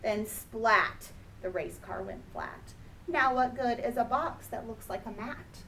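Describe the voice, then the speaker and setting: A woman's voice: on the high side, slow, pushed and bright. A woman reading a children's picture book aloud expressively, drawing out key words for emphasis. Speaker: storybook reader. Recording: distant mic, faint background noise.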